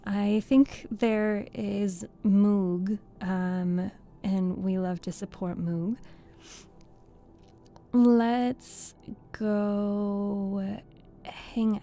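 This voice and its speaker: Woman, casual live-stream host, warm soft tone, giggly and friendly with chat. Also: medium pitch. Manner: chatty, giggly, thanks viewers by name. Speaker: streamer and VTuber reading host.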